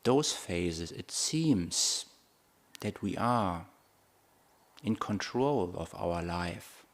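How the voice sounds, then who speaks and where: A man with a low-pitched voice leads a guided meditation. He speaks very slowly, setting out short phrases one at a time. Long, measured pauses separate his thoughts, as a monk teaching would leave them. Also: animated.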